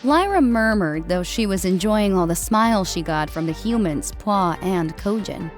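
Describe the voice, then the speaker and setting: Female audiobook narrator, mid-pitched, scripted fiction read over faint background music. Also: animated, moderate pace.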